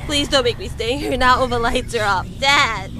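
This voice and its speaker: A woman playing a frightened young boy in a horror audio drama, pleading urgently in fear of the dark. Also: a little high, normal speed, lightly acted. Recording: clear background noise.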